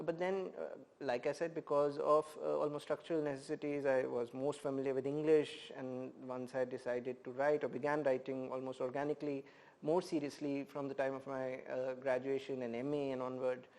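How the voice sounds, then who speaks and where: A professor and poet from India answers interview questions at length. He speaks in a reflective, measured way, often pausing to find the right word. His voice sits at an ordinary middle pitch. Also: creaky, darkish tone. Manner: thoughtful, measured, many "uh" pauses.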